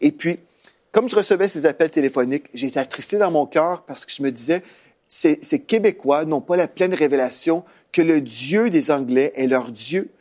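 A man's voice: on the high side, moderate pace, a little mellow. A man with a Canadian French accent, a pastor and host teaching in a conversational televised sermon style.

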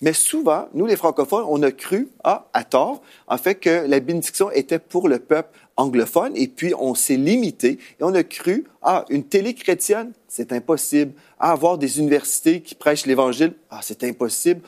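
A man's voice: on the high side, animated, normal speed, hardly any pauses, darkish tone. A man with a Canadian French accent, a pastor and host teaching in a conversational televised sermon style.

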